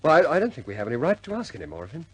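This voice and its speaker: Loyal RAF-style officer, husky, lively intonation, understated and deferential, for a vintage radio war drama. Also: male voice, on the high side, very fast, dry recording, exaggerated voice. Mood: earnest. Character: loyal, respectful male air force officer, frankly understated. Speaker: squadron pilot reporting to his superior.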